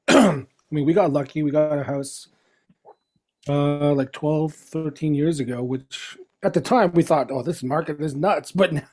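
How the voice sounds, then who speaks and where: A middle-aged man from the Philippines, a guest chatting casually in an interview, relaxed and chuckling as he talks. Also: fairly high pitch, measured pace. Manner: relaxed, chuckling, trails off and restarts.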